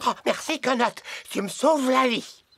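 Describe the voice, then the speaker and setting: A woman voicing a silly, fretful young male piglet in a children's cartoon, exclamatory and a bit whiny, with a creaky voice. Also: high-pitched, a little slow, background noise. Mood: relieved, silly. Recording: loud music behind the voice.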